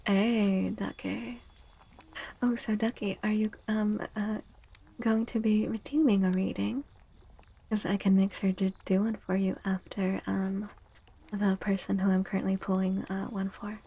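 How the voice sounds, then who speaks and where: Soft, breathy, slow-talking woman, near-whispering. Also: mid-range voice, level tone. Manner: slow, hushed, gentle, often slipping into near-whisper. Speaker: livestream host. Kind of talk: soft-spoken ASMR livestream chat.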